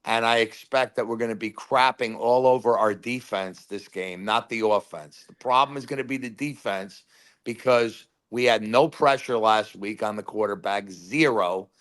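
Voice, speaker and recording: A man calling in to a sports talk show, telling loud, rambling fan stories and giving his game predictions. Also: fairly low pitch, moderate pace. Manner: rambling, animated storyteller. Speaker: caller. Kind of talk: casual phone-in chat.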